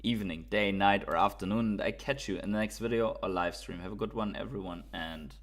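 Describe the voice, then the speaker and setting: A man's voice: on the low side, fairly quick. A man from Germany with a German accent, hosting a casual crypto livestream, reading viewer comments and thinking aloud with fillers and repeats.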